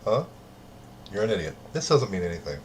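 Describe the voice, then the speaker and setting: A man reading party game cards aloud in casual chat, with a dry, deadpan delivery. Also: low voice, brisk, a little hiss or hum. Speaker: card game player.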